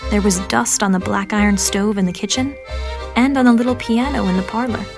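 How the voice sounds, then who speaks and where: A woman narrating a children's storybook in an expressive, measured read-aloud manner. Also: mid-range voice, gravelly. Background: music.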